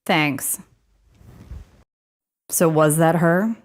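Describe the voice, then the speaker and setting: Flippant, nosy spaceship companion, lowish female voice, casual sci-fi drama delivery. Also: drawn-out words, noisy background. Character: blunt, nosy, flippant adult woman crew companion.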